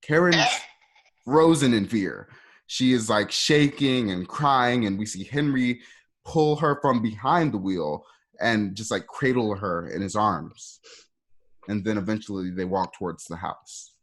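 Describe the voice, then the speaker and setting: Low-voiced male radio play narrator. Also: unhurried. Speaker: narrator reading stage directions.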